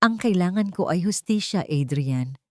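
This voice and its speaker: A woman's voice: lowish voice, expressive, moderate pace, no echo, clean studio sound, lightly acted. A woman narrator voicing a bitter, vengeful older woman seeking justice in a story. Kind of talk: dramatic storybook dialogue.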